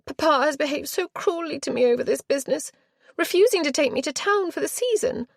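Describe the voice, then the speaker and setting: A woman's voice: lively intonation, brisk, vocal fry, no echo, studio quality. A woman voicing a sulky, spoiled young heiress. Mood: tearful. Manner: sulky, high-strung, rising to tearful. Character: petulant, self-centred young Regency heiress.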